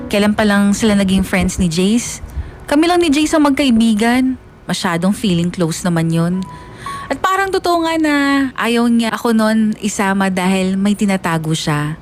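A woman's voice: medium pitch, very animated, normal speed, close to an everyday voice. A woman playing a lovesick, jealous young woman, narrating her own story emotionally in a radio drama. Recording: music bed behind the voice.

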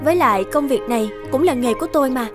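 An actress playing a composed young professional woman in a cartoon, calm and articulate. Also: sits high, racing, music in the background, close to an everyday voice. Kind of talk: Vietnamese cartoon dubbing.

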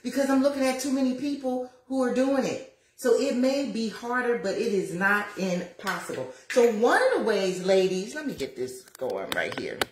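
A woman in her fifties chatting to viewers on a livestream while cooking in her home kitchen, lively and preachy, calling out to them as y'all. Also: fairly high pitch, moderate pace. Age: 50s. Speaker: lifestyle vlogger. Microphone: phone camera at arm's length. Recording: roomy kitchen echo.

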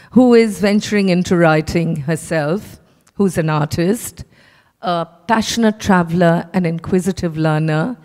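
A woman's voice: fairly low pitch, dark tone. A middle-aged woman with an Indian accent, introducing guests as the announcer at an event. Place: hotel ballroom. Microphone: handheld microphone. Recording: echoey ballroom with long reverb.

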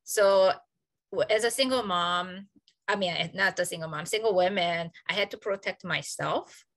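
A woman's voice: fairly low pitch, normal speed, fairly bright tone, dry recording. A woman with a South Korean accent, an associate professor, speaking earnestly and reflectively on a panel over a video call, with frequent fillers.

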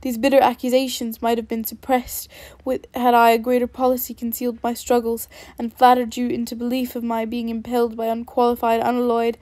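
Casting: woman reading as a stiff aristocratic young man, cold and clipped, low-key, mellow and flat. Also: brisk, hardly any pauses, no room sound, close to an everyday voice. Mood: cold restraint. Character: proud wealthy young gentleman, cold and stiff.

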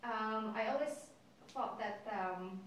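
A woman's voice: mid-range voice, slow, slight background noise. A woman in her thirties from Indonesia with an Indonesian accent, an English teacher answering interview questions with many hesitations. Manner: hesitant, many ums and uhs, laughs lightly. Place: classroom with whiteboard, indoors. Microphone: far camera mic. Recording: echoey classroom from far off.

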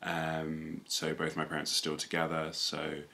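A young man with a London accent speaks in a very deep voice with a bright, forceful edge. His pitch stays fairly flat as he chats casually as a co-host. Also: unhurried, vocal fry. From UK, born in Nigeria and moved at two. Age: young adult. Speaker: video co-host. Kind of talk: casual conversational talk. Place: small furnished room, indoors.

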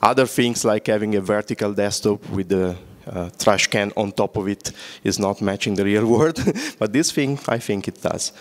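A man's voice: fairly low pitch, normal speed, hardly any pauses. A man with an Italian accent presenting at a conference in a large hall, joking and quizzing the audience through a handheld microphone. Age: older. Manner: joking, engages audience with questions. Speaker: conference speaker. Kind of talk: conference talk. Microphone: handheld mic.

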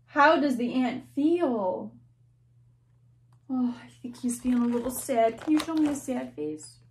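A woman's voice: high-pitched, unhurried, slight background noise. A young woman teacher in her twenties reading a picture book aloud to children, gasping and asking them questions. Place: small classroom-style room indoors.

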